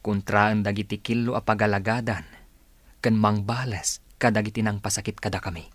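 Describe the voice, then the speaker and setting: A man playing a smooth-talking, persuasive gang recruiter, courteous and coaxing, in an Ilocano radio drama. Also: lowish voice, steady tone, a little fast, dry recording, lightly acted.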